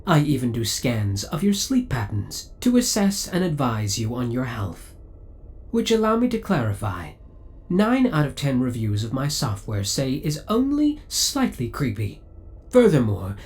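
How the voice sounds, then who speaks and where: Spaceship AI , played by a man: formal, courteous, politely obsessive, with animated pitch swings, for an ASMR roleplay. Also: a little slow. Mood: politely defensive. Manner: formal, courteous, precise servant-like delivery. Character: devoted, possessive male spaceship AI, politely obsessive.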